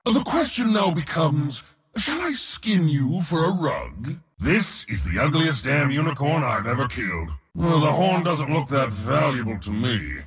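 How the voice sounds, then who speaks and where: Raspy, bellowing, boastful war god. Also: male voice, big pitch swings, unhurried, in a large hall, exaggerated voice. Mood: scornful, gloating. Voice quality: raspy, gravelly, powerful. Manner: pompous, theatrical, taunting, swinging between bellows and low sneers. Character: boastful, battle-hungry male god of war, haughty and mocking. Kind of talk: video game hero voice lines.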